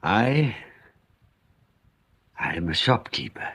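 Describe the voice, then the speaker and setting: An actor playing a precise, self-deprecating, melancholy English shopkeeper in a radio drama, speaking formally and wryly. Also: male voice, fairly low pitch, animated, slow, a bit bright, breathy edge, full character voice. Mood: wry, resigned.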